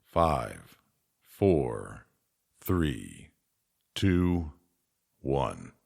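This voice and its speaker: A man playing a sly, needling board member aboard a spaceship in an audio drama, in a gravelly, husky voice. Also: extremely low pitch, very animated, extremely slow, darkish tone, exaggerated voice.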